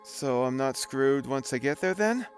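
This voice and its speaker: A man acts a guilt-ridden soul in an audio drama, casual and sardonic. His pitch moves widely while his delivery takes its time and hesitates. Soft music sits under the voice. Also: animated, a little slow, natural voice. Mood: uneasy, probing. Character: newly dead man, flippant, nervous, guilt-ridden soul. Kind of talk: naturalistic audio drama acting.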